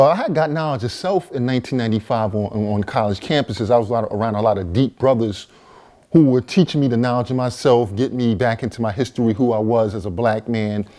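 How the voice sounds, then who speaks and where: A man's voice: mid-range voice, dark tone. A man in his forties, a vegan chef and co-author, telling his own story to the camera in a casual, conversational way with fillers and repeated words. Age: 40s. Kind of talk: personal testimony to camera.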